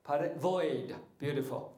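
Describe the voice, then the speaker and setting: A man's voice: a little high, unhurried, dark tone. A middle-aged man teaching meditation to an audience, joking and asking them questions, speaking into a handheld mic in a large hall. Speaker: martial arts and meditation teacher. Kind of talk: playful spiritual talk to an audience. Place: large hall with curtained stage. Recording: echoey hall.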